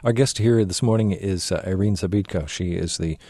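Low husky male radio host. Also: fairly quick, dark tone, no echo. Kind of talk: radio interview.

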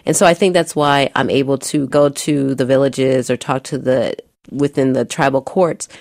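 A woman's voice: rumbling low, steady tone, moderate pace, darkish tone, no room sound, studio quality. A woman with an American accent giving an earnest first-person account as a guest speaker. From United States, Midwest.

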